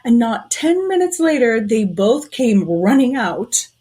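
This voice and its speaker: A woman playing a shrewd, suspicious conspirator in a period audio drama, telling things in a knowing, deliberate way. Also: fairly high pitch, big pitch swings, warm tone, breathy edge, exaggerated voice.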